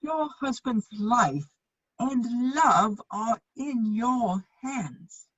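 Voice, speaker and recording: Persuasive young-hearted dandy, acted by a man, husky, swooping stage delivery, dry and slightly dull recording. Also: very high voice, animated, drawn-out words, a bit bright, no room sound, heavily acted. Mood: urgent, pleading. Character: witty, loyal, persuasive gentleman bachelor, a son. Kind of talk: theatrical dramatic reading of a period comedy.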